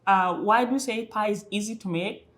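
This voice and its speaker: A woman's voice: mid-range voice, moderate pace, a bit bright. Woman from Kenya telling a story. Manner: laughs along, repeats words as she starts. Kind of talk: conversational storytelling.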